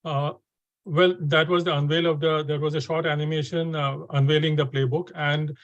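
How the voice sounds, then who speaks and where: A man's voice: on the high side, little pitch movement, normal speed, dull audio, over loud music. A man hosting a webinar, speaking hesitantly with many fillers and restarts. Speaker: webinar host.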